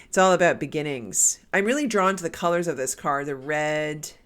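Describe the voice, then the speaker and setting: A woman's voice: sits low, animated, moderate pace, a bit bright. A woman doing a casual spiritual card reading, her nose stuffed up from a cold, talking in a meandering way with many restarts. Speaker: tarot card reader.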